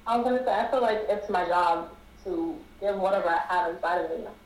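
A woman's voice: fairly low pitch, fast talker. A woman in her thirties, an upbeat and friendly podcast guest in a casual interview. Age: 30s. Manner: upbeat, friendly, laughs easily. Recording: distant, roomy with faint noise.

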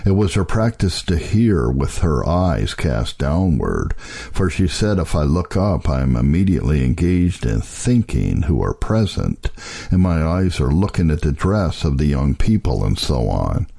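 A man's voice: very deep voice, big pitch swings, a little slow, creaky, mellow, husky. A man reading an old devotional text aloud as a solemn, scripted audiobook narration. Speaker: narrator reading historical religious texts.

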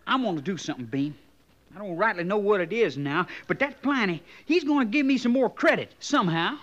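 High-pitched, folksy, aggrieved cavalry trooper. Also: male voice, lively intonation, brisk, fairly bright tone. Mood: stubbornly determined. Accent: American. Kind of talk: 1950s radio western acting. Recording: muffled old 1950s radio recording.

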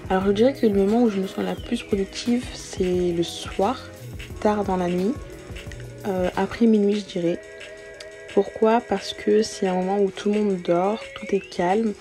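A woman's voice: medium pitch, fairly even intonation, normal speed, warm tone, soft background music. A woman in her early twenties, not a native French speaker, hosting a casual solo podcast in an informal, slangy way with some English words mixed in. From born outside France, moved to France as a young child. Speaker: podcast host. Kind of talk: casual solo podcast, answering self-introduction questions.

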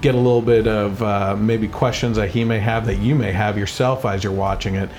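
A man hosting a faith podcast, telling his personal story in an earnest, casual way with quick bursts and restarts. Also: on the low side, fairly even intonation, a little mellow, faint music behind. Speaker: podcast host.

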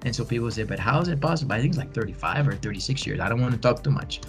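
A man's voice: medium pitch, expressive, racing, faint music behind. A man in his forties, a bilingual educator answering interview questions in an earnest, encouraging way and often repeating phrases for emphasis. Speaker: podcast guest, bilingual educator.

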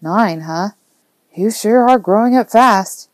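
A woman's voice: mid-range voice, slow pace, no room sound, natural voice. A woman voicing a friendly, easygoing man in an audiobook, casual and familiar with a grumbling streak. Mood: casual, amiable. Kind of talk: audiobook character dialogue.

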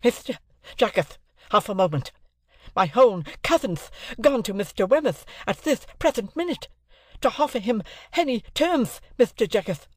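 A man voicing an excitable, pleading male supplicant with a heavy lisp in an audiobook. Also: very high-pitched, normal speed, no room sound, studio quality, pitched above the performer's own voice. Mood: frantic pleading.

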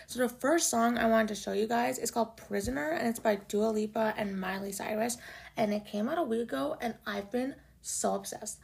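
A young woman from Canada hosts her own podcast, chatting loosely and swearing as she goes. Her voice is low and raspy, with heavy vocal fry and a slightly raised pitch for a woman. She fills her talk with "like" and "y'all". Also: moderate pace, darkish tone. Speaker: podcast host. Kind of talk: casual solo podcast chat. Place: home room in Toronto.

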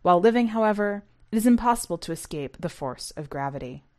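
A woman narrating a short story for a podcast in a measured, precise, scripted audiobook style. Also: medium pitch, lively intonation.

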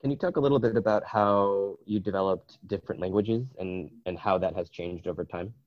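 A man with a fairly low voice asks short, curious questions in quick bursts. He sounds a bit distant from the mic, and the audio is dull. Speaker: podcast co-host. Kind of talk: asking interview questions.

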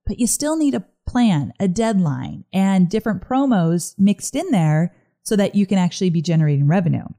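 Woman, podcast host, slightly gruff morning voice, mellow, conversational, upbeat. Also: medium pitch, lively intonation, normal speed. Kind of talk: casual solo podcast talk.

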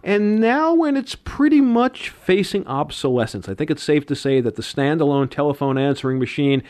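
A man's voice: on the high side, lively intonation, normal speed, darkish tone. Older man reminiscing on a talk radio show. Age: 50s. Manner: reminiscing, lecturing with dates and figures.

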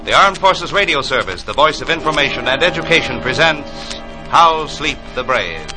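A man's voice: medium pitch, expressive, normal speed, close to an everyday voice, rough recording. A man acting as a formal military radio announcer of the 1940s, proclaiming a program. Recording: vintage 1940s radio, music behind.

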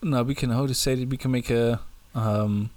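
A man's voice: mid-range voice, steady tone, normal speed, a little mellow, dry recording. A young man with a German accent, co-hosting a podcast in casual, joking chat, often restarting his sentences. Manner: joking, hesitant with many restarts. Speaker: podcast co-host.

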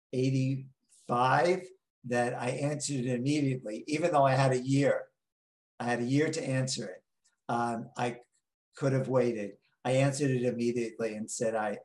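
A man in his late sixties with a New York accent, telling his story slowly as an interview guest, pausing on many ums. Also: mid-range voice, darkish tone, echoey room, poor audio. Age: 60s. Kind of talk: interview answers.

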